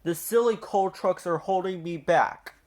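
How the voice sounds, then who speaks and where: A man's voice: high voice, measured pace, a little mellow, voice pushed up. A man voicing a boastful, grumbling big steam engine with a pompous, huffy manner in a children's storybook. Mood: huffy, straining.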